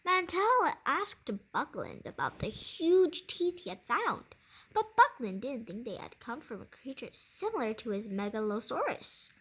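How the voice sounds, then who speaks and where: A woman's voice: high voice, sing-song, measured pace, fairly bright tone. A woman reading a children's science book aloud, stumbling over words now and then and rereading phrases. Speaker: reader of a children's nonfiction book. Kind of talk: read-aloud of a science book with casual asides. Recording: clearly noisy amateur recording.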